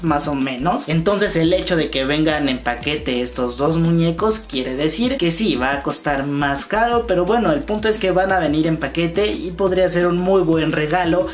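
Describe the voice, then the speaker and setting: A man's voice: fairly high pitch, lively intonation, moderate pace, hardly any pauses. A man in his twenties with a Mexican accent, explaining fan news directly to his viewers as a YouTube host. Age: 20s. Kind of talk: fan news vlog to camera. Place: small room at home, indoors. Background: background music.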